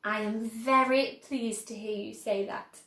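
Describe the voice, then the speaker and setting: A woman's voice: a little high, steady tone, measured pace, a bit bright, reverberant. A woman voicing an eccentric, exuberant old man who owns a chocolate factory, speaking earnestly and emphatically in a storybook reading. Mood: serious.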